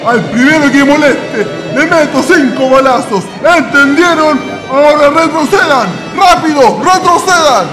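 Guy playing bold expedition leader, slow declamatory high voice, music behind, radio play. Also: low-quality recording. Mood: threatening. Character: bold, commanding leader of travelling settlers. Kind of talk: radio drama acting.

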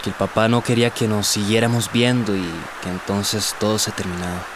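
A man's voice: lowish voice, level tone, brisk, background noise, lightly acted. An actor playing a moody, careless young man in a radio drama, with a Costa Rican accent. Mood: dejected. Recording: radio drama with music underneath.

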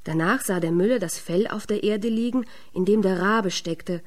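A woman's voice: mid-range voice, normal speed. A woman storyteller reading a scripted fairy tale narration.

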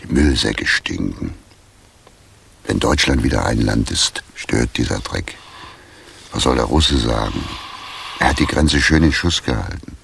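An actor playing a blunt, mocking homeless man in a radio play, with a gravelly voice. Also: expressive, measured pace, pauses often, fairly bright tone, heavily acted. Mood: sardonic. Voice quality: very deep, gravelly. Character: coarse, sardonic homeless drifter, ex-lathe worker from Kreuzberg. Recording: 1980s radio drama with faint noise.

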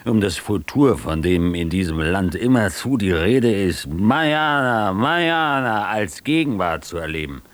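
A man's voice: fairly low pitch, expressive, a little slow, hardly any pauses. A man playing a wry, literary narrator and interpreter in a radio drama, speaking with irony. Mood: ironic, eloquent. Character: wry male interpreter and narrator looking back on friends.

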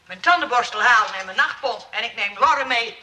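Bossy nurse in a radio play, highish voice, brisk and hurt, bit of tape noise. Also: female voice, lively intonation, pushed and bright, far from the mic. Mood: resolute, offended. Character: bossy, hurt, resolute nurse who runs a rest home. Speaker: actress in a radio drama.

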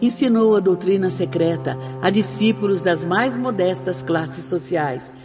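Actress as solemn Brazilian spirit sister, music underneath. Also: female voice, fairly low pitch, a little slow, close to an everyday voice. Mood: reverent. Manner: solemn, reverent, formal. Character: solemn, exalted spirit sister instructing souls. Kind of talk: Brazilian radio drama acting, declamatory oratory.

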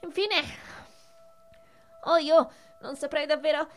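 Squeaky, excitable little rascal boy. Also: slow, faint music behind. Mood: manic glee. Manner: excitable, mock-courteous, flowery, repeating phrases. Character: mischievous, cheerfully wicked little rascal boy of marble. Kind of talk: theatrical radio play acting.

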